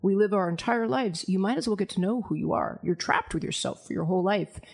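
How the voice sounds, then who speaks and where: Frank deep-voiced woman, gravelly edge. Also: brisk, a little mellow. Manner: frank, emphatic and self-assured. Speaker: anonymous guest. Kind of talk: candid panel conversation.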